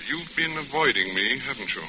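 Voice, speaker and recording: Actor as a suave gentleman, swooping pitch, noisy radio. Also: animated, fairly quick, natural voice. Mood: apologetic, coaxing. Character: smooth, attentive, secretive gentleman ship passenger courting a woman. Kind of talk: 1940s radio drama acting. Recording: muffled, very noisy old radio recording.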